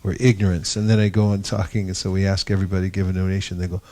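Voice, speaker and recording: Low-voiced man leading a scripture class. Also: flat delivery, racing, dark tone. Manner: anecdotal, wry humor. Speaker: discussion leader. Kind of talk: devotional class discussion. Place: gathering in India.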